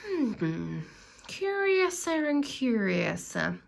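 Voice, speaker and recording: A woman's voice: a little high, sing-song, very slow, gravelly, pitched above the performer's own voice, heavily acted. Woman voicing a curious, muttering young boy. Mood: puzzled, musing. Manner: hushed, musing mutter.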